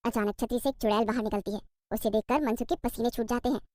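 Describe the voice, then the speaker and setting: A woman's voice: on the high side, fairly even intonation, fast, background noise. A woman reading scripted narration for a cartoon story.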